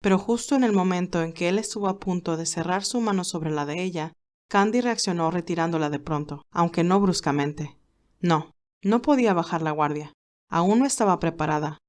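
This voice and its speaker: A woman's voice: lowish voice, normal speed, darkish tone. A woman narrator reading a scripted romantic story.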